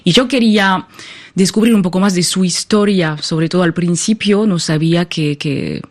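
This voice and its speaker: A woman's voice: lowish voice, moderate pace, a little mellow. A French woman speaking as an interview guest with a French accent, thoughtful and often searching for words. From France. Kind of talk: conversational interview.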